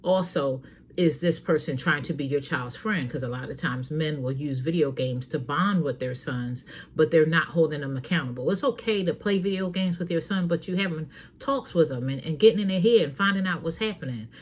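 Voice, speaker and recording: A woman's voice: sits low, a little fast, a little hiss or hum. A woman hosting a talk show, giving earnest, frank parenting advice straight to her listeners in a casual, preachy way. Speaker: host.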